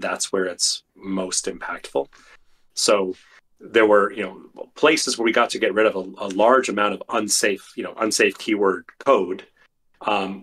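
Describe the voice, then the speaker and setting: A man, a software engineer guest, explaining technical details thoughtfully with many fillers, his voice getting tired and worn. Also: deep voice, breathy edge, low-quality recording. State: losing his voice. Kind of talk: technical interview talk.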